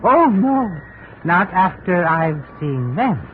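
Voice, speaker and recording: Higher-voiced weary runaway husband, old radio. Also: male voice, lively intonation. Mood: agitated. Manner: halting, hesitant, trailing off. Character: weary runaway husband faking his death, wounded and halting. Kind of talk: 1950s radio drama acting. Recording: muffled, narrow old radio recording, faint noise.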